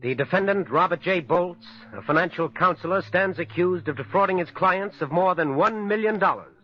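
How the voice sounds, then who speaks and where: Male, court official: high, stern, formal declamation, muffled vintage radio recording. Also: moderate pace. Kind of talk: 1940s radio acting, formal reading. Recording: dull 1940s radio recording.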